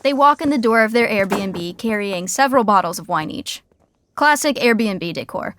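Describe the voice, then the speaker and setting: A woman narrating a comedy podcast in a dry, deadpan way. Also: mid-range voice, moderate pace, slight background noise.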